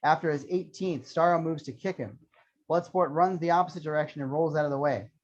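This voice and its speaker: A man's voice: fairly high pitch, fairly quick, a little mellow. A man reading screenplay action lines as scripted narration at an amateur table read. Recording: dull lo-fi sound.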